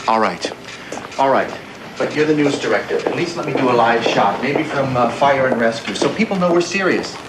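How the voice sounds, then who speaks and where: An actor playing an earnest, insistent TV hurricane forecaster, serious and explanatory in delivery. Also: male voice, mid-range voice, lively intonation, moderate pace, very noisy, natural voice. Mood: urgent, pleading. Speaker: TV weather forecaster. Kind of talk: film drama dialogue and broadcast delivery.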